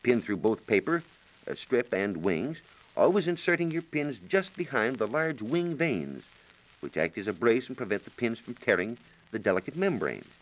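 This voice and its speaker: A man's voice: mid-range voice, very animated, normal speed, poor audio. A man explaining science on a 1940s radio program in a precise, methodical, formally worded manner, on a vintage broadcast recording.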